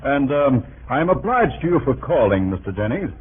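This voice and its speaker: A circumspect statesman in an old radio play. He is formal and polished, with a middle pitch and very varied intonation. The sound is narrow, like a vintage broadcast. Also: big pitch swings, moderate pace, breathy edge. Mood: formal, smoothing over. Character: guarded, formal, scheming Secretary of State. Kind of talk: 1930s radio acting. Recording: tinny, faintly noisy 1930s radio recording.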